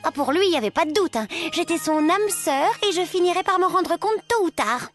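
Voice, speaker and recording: A woman playing a talkative, ambitious sixteen-year-old schoolgirl in an animated series, speaking emphatically and colloquially. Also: extremely high pitch, a little fast, soft background music. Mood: amused, confiding.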